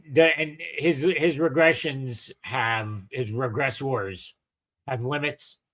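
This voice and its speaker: A man's voice: medium pitch, takes it slowly, a bit bright. A man hosting a podcast, chatting casually and asking his guest curious, joking questions. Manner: curious, asks questions, jokes. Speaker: podcast host. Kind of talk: casual interview chat.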